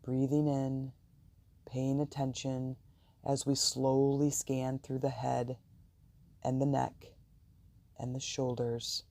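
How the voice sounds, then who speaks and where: Slow, very dark gravelly guided meditation voice. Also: medium pitch. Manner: slow, calm, soothing, deliberate pauses.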